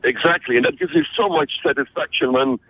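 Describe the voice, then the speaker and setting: A man's voice: on the high side, wildly swooping pitch, fairly quick, gravelly, a bit bright, breathy edge, no room sound. A man from Belgium, a guest in a radio interview, talking energetically and often repeating words as he starts a sentence. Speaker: interview guest, conference organizer. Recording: tinny phone-like radio line.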